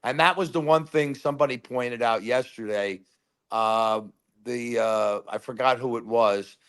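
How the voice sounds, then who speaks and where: A man calling in to a sports talk show, telling loud, rambling fan stories and giving his game predictions. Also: medium pitch, unhurried, smooth. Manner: rambling, animated storyteller. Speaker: caller. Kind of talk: casual phone-in chat.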